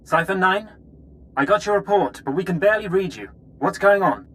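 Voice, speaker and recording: A man playing a pragmatic intelligence officer in a video game, talking in a brisk, businesslike, urgent way. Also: sits high, animated, a bit bright, slight background noise, poor audio. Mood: concerned, brisk. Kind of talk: scripted video game dialogue.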